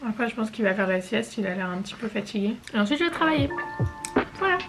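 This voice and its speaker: A woman's voice: a little high, lively intonation, fast talker, loud music behind the voice. A young woman and mother vlogging casually at home, chatting in a rambling way full of fillers and restarts. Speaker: family vlogger, mother of a newborn. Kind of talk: casual chat to camera. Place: at home, bedroom and kitchen.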